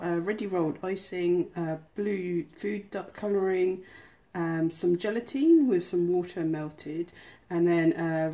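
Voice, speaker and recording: A woman with a fairly low, very mellow voice talks through a recipe. She explains each step informally and chattily, as the host of a small cooking channel filmed at home. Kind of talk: casual cooking tutorial.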